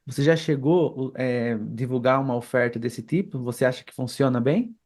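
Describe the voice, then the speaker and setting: A man's voice: mid-range voice, normal speed, a little mellow. A man with a Brazilian accent co-hosting a casual podcast on a remote call, posing questions and discussing them. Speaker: podcast co-host.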